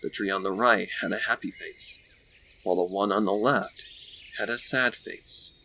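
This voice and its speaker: A man reading scripted story narration with deliberate, dramatic phrasing. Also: on the low side, steady tone, vocal fry, pushed and bright. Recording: faint background noise with birdsong.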